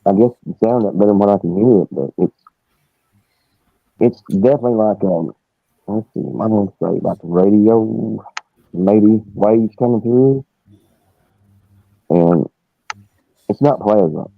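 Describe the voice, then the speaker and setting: A man's voice: lowish voice, a little slow, vocal fry, pauses often, no room sound. A man casually recounting personal experiences as a guest, rambling and searching for words. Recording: dry, thin phone-like line.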